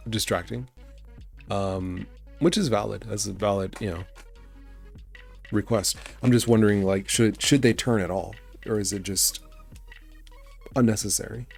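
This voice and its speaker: Young guy streaming, low voice, raspy and croaky after being sick, rambly and chill. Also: darkish tone. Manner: laid-back, rambling, thinking aloud. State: raspy voice, just recovering from illness. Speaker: game developer streamer. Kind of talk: casual live-stream chat while working. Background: faint background music and keyboard typing.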